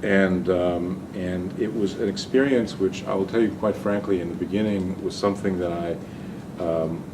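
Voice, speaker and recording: A man's voice: deep voice, steady tone, normal speed. Older guy from hungary giving a talk, lots of ums, sounds far off from the mic. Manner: hesitant, many ums and restarts. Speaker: physician-scientist speaker. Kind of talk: lecture-style talk. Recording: distant mic with audible room noise.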